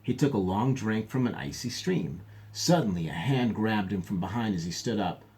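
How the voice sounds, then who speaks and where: A man reading a story aloud as a teacher, pausing to explain things to his listeners. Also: on the low side, animated, darkish tone. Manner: explanatory, pauses to clarify for listeners. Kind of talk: read-aloud storytelling with asides.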